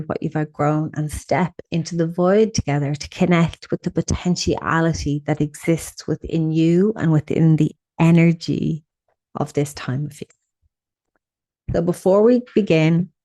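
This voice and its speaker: A woman leading a guided meditation, speaking in a soothing, unhurried way with gentle instructions and pauses. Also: low voice.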